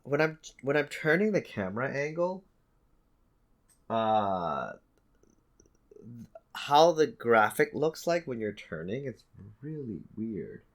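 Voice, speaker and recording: A man co-hosting a podcast in casual chat, halting and repeating words for emphasis. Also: medium pitch, sing-song. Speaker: podcast co-host. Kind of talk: casual chat about video games.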